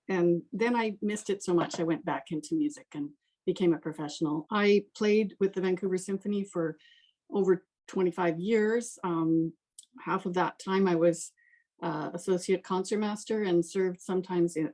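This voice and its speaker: A woman's voice: fairly low pitch, moderate pace, darkish tone. An older woman casually introducing herself as a guest in a conversation, speaking as a professional musician. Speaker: interview guest, professional violinist.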